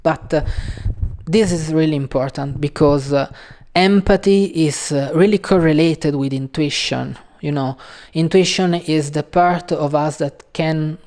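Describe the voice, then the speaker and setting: A woman with an Italian accent, a native Italian speaker hosting her own channel and talking casually in English about a book she read. She speaks hesitantly, with many pauses and fillers. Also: deep voice, darkish tone. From Italy. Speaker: channel host. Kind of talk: casual video talk on a book.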